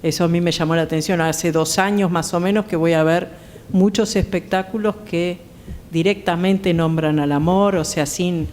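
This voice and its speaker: A woman's voice: steady tone, moderate pace, a little mellow. A woman with an Argentine accent speaking thoughtfully as a panel guest, tentative and searching for her words. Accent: Argentine Spanish. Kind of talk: thoughtful conversational talk. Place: theatre stage. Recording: background noise with low rumble.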